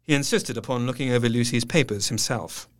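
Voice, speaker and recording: An actor playing a sorrowful, earnest doctor who narrates a gothic tale in measured, literary phrases. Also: male voice, mid-range voice, close to an everyday voice. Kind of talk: dramatic scripted narration.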